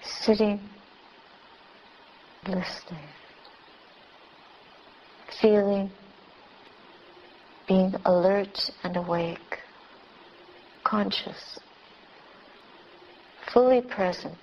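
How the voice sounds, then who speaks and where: A woman meditation teacher leading a guided meditation in short, unhurried phrases with long pauses between them. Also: mid-range voice, fairly even intonation, darkish tone. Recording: dull lo-fi recording with clear background noise.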